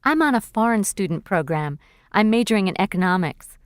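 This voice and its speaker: An actress playing a cheerful young female university student in a scripted textbook dialogue, speaking clearly and expressively. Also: medium pitch, animated, a little fast, no echo, clean studio sound, natural voice. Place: recording studio.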